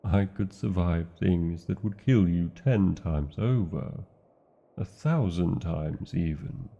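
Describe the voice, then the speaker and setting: A man's voice: deep voice, slow pace, creaky, mellow, husky, lo-fi sound, heavily acted. A man with a British accent voicing a large, devoted, proud male dragon in a fantasy ASMR romance, speaking tenderly with theatrical, formal wording. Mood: concerned, proud.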